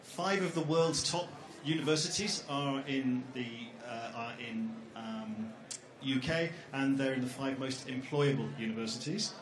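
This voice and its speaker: A man's voice: mid-range voice, unhurried, hardly any pauses, crowd noise. A middle-aged man with an ordinary mid-range voice co-presents at a fair. He rambles a little and slips in jokes and ums, and the sound of a big hall hangs around his words. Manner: jokey, rambling, with many fillers. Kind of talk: informal presentation at an education fair. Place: large echoey exhibition hall. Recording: echoey hall.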